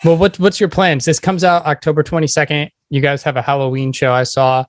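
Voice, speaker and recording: A man's voice: a little high, level tone, a little fast, no room sound. A man hosting a podcast, chatting casually as an interviewer.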